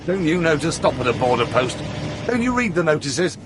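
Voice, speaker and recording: A man's voice: on the high side, big pitch swings, brisk. Strict border guard man, curt and officious, radio play, engine noise behind him. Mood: stern. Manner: curt, reproving. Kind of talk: radio drama acting. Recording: clear vehicle noise, outdoor ambience.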